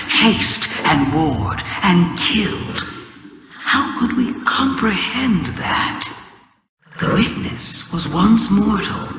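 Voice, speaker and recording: Video game villain: cunning alien witch goddess, low-pitched for a woman, unhurried, grand and cryptic, drenched in echo. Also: fairly bright tone, exaggerated voice. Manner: theatrical, deliberate, cryptic. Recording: cavernous hall reverb, crowd-like murmur behind.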